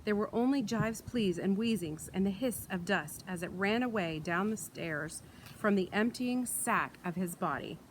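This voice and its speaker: A woman reading a children's book aloud in an expressive storytelling style, recorded outdoors. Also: mid-range voice, moderate pace, rough recording. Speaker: read-aloud reader. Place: outdoors in wind. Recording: light outdoor wind and ambient noise.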